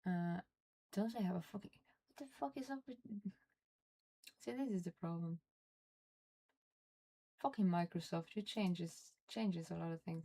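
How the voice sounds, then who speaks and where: A young woman from Norway, speaking English as a second language, talks slowly in a low, gravelly voice with a mellow, dark tone. She grumbles and curses at her software, often dropping to a muttered whisper. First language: Norwegian. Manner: frustrated, swearing, muttering under her breath. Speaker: streamer. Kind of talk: casual livestream chat while fiddling with software.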